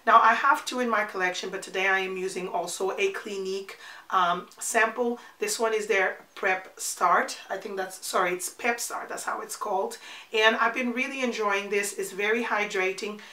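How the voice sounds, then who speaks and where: A woman's voice: mid-range voice, bright, forceful tone, echoey room. A woman in her forties with a congested, nasal voice from a cold, chatting her way through a makeup tutorial and repeating words for emphasis. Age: 40s. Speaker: beauty vlogger.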